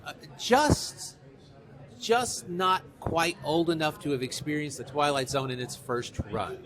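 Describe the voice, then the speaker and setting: A man's voice: on the high side, a little slow, fairly bright tone. A middle-aged man from New York telling anecdotes in an interview, talkative and enthusiastic about his subject. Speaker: author, interview guest. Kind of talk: conversational interview, anecdotal storytelling.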